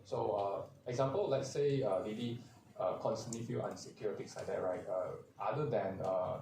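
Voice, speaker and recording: A man, a vtuber agency manager on a convention panel, speaking haltingly with many fillers. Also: on the low side, dark tone. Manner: halting, many "uh" fillers, non-native grammar. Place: large convention hall. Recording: echoey hall, distant mic, faint crowd noise.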